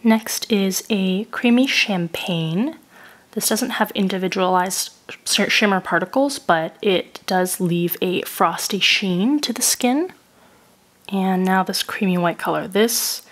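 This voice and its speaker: A woman getting over a cold, calmly describing each product she tries in a methodical review voice-over. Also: medium pitch, takes it slowly. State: recovering from a cold. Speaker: beauty reviewer.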